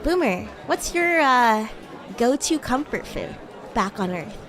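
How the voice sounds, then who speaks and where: A woman's voice: fairly high pitch, very animated, slow pace, fairly bright tone, some chatter behind, full character voice. A woman voicing a bratty young demon schoolgirl in a roleplay stream, teasing and stammering when flustered. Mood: friendly, chatty. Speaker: VTuber streamer playing a character. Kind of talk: improvised roleplay livestream, chatting with viewers.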